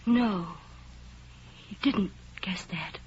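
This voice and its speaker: A woman's voice: mid-range voice, drawn-out words, slightly rough, full character voice. A woman playing a guarded, conflicted spy countess in a 1930s radio drama, with a theatrical, emotional delivery. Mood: anguished, conflicted. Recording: muffled old 1930s radio recording with music.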